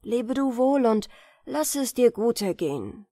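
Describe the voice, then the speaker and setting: A woman voicing a gentle, clever youngest brother in a fairy tale, earnest and measured. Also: on the high side, big pitch swings, no echo, clean studio sound, heavily acted. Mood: sad resignation.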